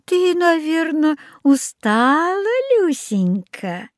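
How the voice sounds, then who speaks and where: A woman voicing a sugary old witch in a storybook, luring children with cooing, drawn-out, sing-song talk. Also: sits high, sing-song, no echo, clean studio sound, voice pushed up, full character voice. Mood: cooing, sweet.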